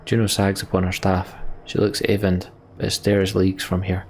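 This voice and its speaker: Low, gravelly man narrating a game. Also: monotone, a little fast, slight background noise. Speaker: let's play host reading game text aloud. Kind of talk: dramatic storytelling narration with casual commentary.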